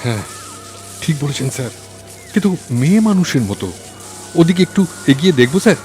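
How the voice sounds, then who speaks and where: A man acting an anxious, eager subordinate in an audio story, respectful and questioning, quick to get excited. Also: a little high, soft background music. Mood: eager, tense. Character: Nanda, deferential, anxious, eager male subordinate.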